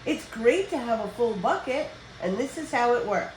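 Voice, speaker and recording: An older woman reading a children's picture book aloud as a storyteller, with expressive, deliberate phrasing for young listeners. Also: fairly high pitch. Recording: roomy echo with background noise.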